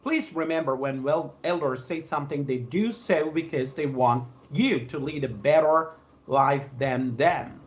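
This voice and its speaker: A man's voice: a little high, measured pace, slight background noise. South Indian man, formal live English trainer. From Andhra Pradesh, India. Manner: effusive, formal, repeats phrases and stock idioms. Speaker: spoken English trainer hosting a live stream. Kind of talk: live English lesson, reading aloud and addressing viewers.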